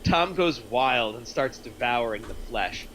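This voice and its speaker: A man narrates stage directions at a table read. His voice is bright and forceful at a middle pitch, with faint noise on the voice call. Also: expressive, a little slow, poor audio. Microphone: online voice chat microphone.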